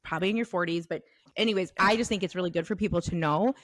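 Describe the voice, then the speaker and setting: A woman in her forties co-hosting a podcast, quick and teasing yet candid in conversation. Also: on the low side, no echo. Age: 40s. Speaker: podcast co-host. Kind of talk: casual podcast chat.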